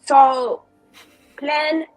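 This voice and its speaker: A woman's voice: high-pitched, steady tone, extremely slow, dry recording. A woman playing a tabletop roleplaying game, improvising in character in a playful, informal way. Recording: background music.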